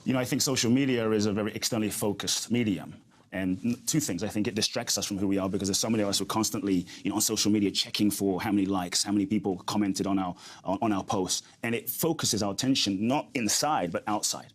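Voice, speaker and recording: A man's voice: lowish voice, fast talker. A man, a guest speaker talking earnestly and reflectively in an interview, often repeating his key phrases. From grew up in London. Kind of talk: interview conversation.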